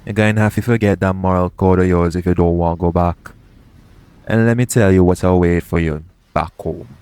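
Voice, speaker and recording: A man's voice: deep voice, a little mellow, smooth. A man playing a shady, persuasive pirate captain in an audio roleplay, bargaining straight to the listener in roguish, ungrammatical speech. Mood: menacing, taunting. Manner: coaxing, bargaining, roguish dialect grammar. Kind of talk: audio roleplay acting, addressing the listener directly.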